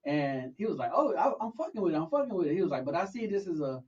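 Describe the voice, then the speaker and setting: A man hosting a podcast in casual chat, telling animated stories and acting out what people said. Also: a little high, quick pace, distant mic, dull audio. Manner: animated storytelling with acted-out quotes.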